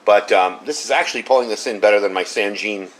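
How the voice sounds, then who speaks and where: A man's voice: lowish voice, quick pace. A man giving a casual hands-on gadget review, explaining things step by step in an informal way. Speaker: product reviewer. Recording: clear steady background noise.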